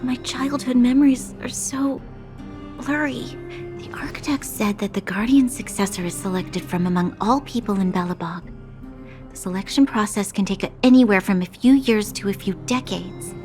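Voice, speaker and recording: A woman's voice: mid-range voice, moderate pace, a little mellow, natural voice. A woman playing an earnest, self-doubting young noblewoman in a video game, speaking formally with a hesitant, introspective manner. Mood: solemn, troubled. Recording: music behind the voice.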